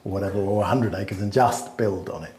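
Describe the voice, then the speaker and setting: A man's voice: on the low side, a little fast, a little mellow, roomy sound. A man explaining land buying at length in a casual chat, with many fillers and restarts. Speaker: smallholding expert.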